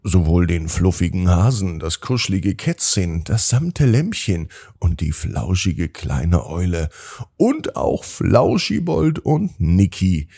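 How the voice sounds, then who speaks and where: A man's voice: deep voice, very animated, slow, gravelly, mellow, slightly rough. A man narrating a children's bedtime story in a soothing manner, drawing out words for emphasis.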